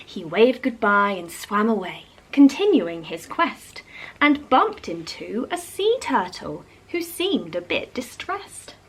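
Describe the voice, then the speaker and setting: Woman storyteller for children's read-aloud, unhurried, highly varied intonation. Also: husky, low-quality recording. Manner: animated, addresses young listeners. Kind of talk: expressive read-aloud of a rhyming picture book.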